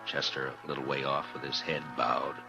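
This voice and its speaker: An American actor playing an easygoing, reflective frontier marshal who narrates in an old-time radio western. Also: male voice, extremely low pitch, level tone, normal speed, vocal fry. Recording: muffled old radio recording, music behind.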